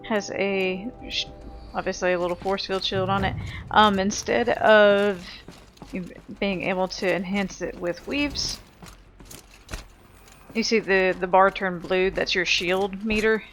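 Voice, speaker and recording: A woman talks through a video game at a slow, easy pace. Her style is casual and informal, as if thinking aloud for viewers. Faint music plays behind her voice. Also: mid-range voice, steady tone, fairly bright tone. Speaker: video game player and host of a playthrough.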